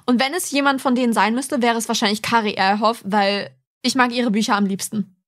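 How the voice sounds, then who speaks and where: A woman with a slightly high voice co-hosts a podcast. She speaks casually, as in an informal conversation among fans. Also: fairly even intonation, brisk. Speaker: podcast co-host.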